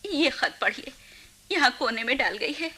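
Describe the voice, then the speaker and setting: A middle-aged mother in a radio play, high and bright of voice. She is long-suffering yet defiant, and her emotional lines swing widely in pitch. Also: animated, full character voice. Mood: bitter, defiant. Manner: emotional, dramatic delivery with drawn-out pleas. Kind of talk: Hindi radio play acting.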